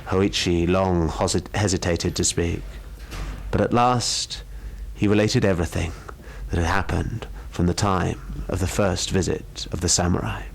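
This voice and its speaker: A man reading a ghost story aloud in a dramatic storytelling style. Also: low voice.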